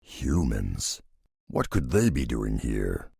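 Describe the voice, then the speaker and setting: Very deep, gravelly warrior. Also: male voice, very animated, slow pace, darkish tone, no room sound, studio quality, full character voice. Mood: wary. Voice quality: gravelly, husky. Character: gruff, grave male wandering warrior who loves beasts. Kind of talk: video game voice acting.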